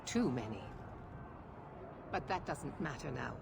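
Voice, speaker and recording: A woman's voice: rumbling low, lively intonation, unhurried, creaky, noisy background, heavily acted. An actress playing a stern, imperious female knight commander with an authoritative, cold and clipped manner. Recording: game audio with music behind.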